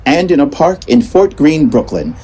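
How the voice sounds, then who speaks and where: A man's voice: fairly high pitch, very animated, normal speed, warm tone, slightly rough, soft background music, rough recording. A man playing the theatrical, easily flustered narrator of a comic audio drama.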